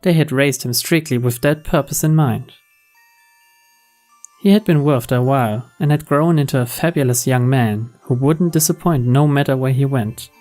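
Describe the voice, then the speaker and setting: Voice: medium pitch, moderate pace, darkish tone. A narrator reading scripted visual novel story text. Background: background music.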